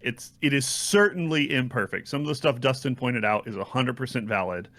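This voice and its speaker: Measured man with a medium-pitched voice. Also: a bit bright. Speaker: podcast co-host. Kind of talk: casual video game review discussion.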